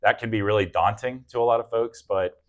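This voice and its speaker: A man from the American South speaks in a very low, deep voice as an interview guest. He talks earnestly and reflectively, often pausing on "um" and restarting his sentences. His tone stays grounded and sincere. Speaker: guest, real estate agent. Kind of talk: casual interview conversation.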